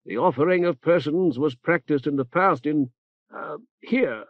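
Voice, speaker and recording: A male audiobook narrator voices an old cleric who speaks in halting, clipped phrases padded with "eh" and "uh". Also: a little high, no room sound, narrow, tinny audio, pitched above the performer's own voice. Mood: ponderous. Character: fussy elderly male cleric, rambling and hesitant.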